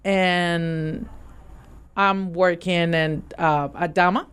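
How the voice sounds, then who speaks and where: A woman's voice: on the low side, fairly even intonation, extremely slow, a bit bright, clean tone. A woman from Venezuela, a guest on an interview who talks hesitantly and often restarts her sentences. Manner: hesitant, searching for words, many restarts. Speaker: guest, nonprofit art director. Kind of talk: casual interview talk.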